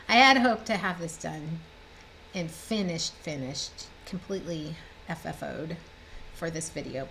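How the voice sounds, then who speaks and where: A woman's voice: medium pitch, a bit bright, slight background noise, low-quality recording. A woman in her fifties, a little stuffed up, chatting cheerfully and rambling as she shows her cross-stitch projects at home. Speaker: cross-stitch designer and vlogger. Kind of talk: casual show-and-tell chat. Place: home room with bookshelves, indoors.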